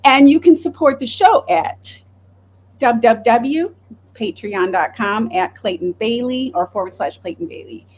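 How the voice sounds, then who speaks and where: A woman with a fairly high-pitched voice hosts a casual podcast chat. She talks in a chatty, animated way and slips easily into telling stories. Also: unhurried, breathy edge. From Wisconsin. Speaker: podcast host.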